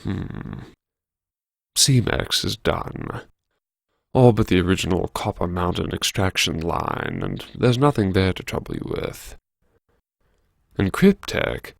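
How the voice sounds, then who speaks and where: A man's voice: lowish voice, gravelly, no echo, studio quality, full character voice. A man playing a wry, brooding, disgraced businessman who mutters to himself in a theatrical audiobook monologue. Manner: sardonic, theatrical, muttering to himself with sighs and pauses. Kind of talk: first-person audiobook monologue, dramatic reading.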